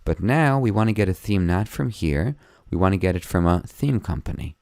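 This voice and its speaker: A hoarse man walking through a website tutorial step by step in a plain, friendly way, speaking at a desktop microphone. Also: deep voice, expressive, a little fast, a little mellow, no echo, studio quality. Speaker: web design tutor. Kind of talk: screen-recorded tutorial narration.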